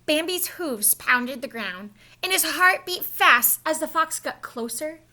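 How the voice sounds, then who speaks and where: A woman reads a picture book aloud for young children. Her voice is high and bright, and she tells the story expressively, taking care that small listeners follow along. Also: animated, measured pace, slight background noise. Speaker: storybook reader, school staff.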